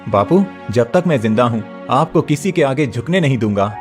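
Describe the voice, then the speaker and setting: A man's voice: medium pitch, expressive, moderate pace, darkish tone, soft background music, close to an everyday voice. A man voicing a determined young farmer son. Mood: earnest. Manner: earnest, defiant, proud. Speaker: voice actor.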